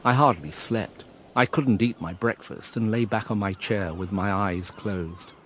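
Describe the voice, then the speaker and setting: Radio play narrator: wistful, reflective man, low measured voice over slightly noisy ambience. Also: fairly bright tone. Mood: brooding. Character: brooding, wistful male narrator looking back on lost love. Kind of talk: first-person radio drama narration.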